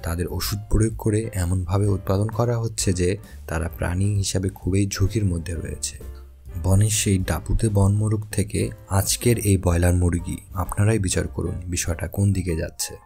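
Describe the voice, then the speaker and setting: Deep gravelly male documentary narrator. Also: normal speed. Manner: calm explanatory storytelling. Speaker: narrator of an educational channel. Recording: voice-over with faint background music.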